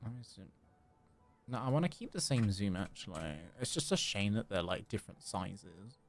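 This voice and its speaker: A man's voice: on the low side, sing-song, moderate pace, gravelly. A man chatting casually and playfully as he livestreams a video game, sometimes reading dialogue aloud. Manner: playful, chatty, repeats phrases. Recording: game music behind the voice. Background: video game music.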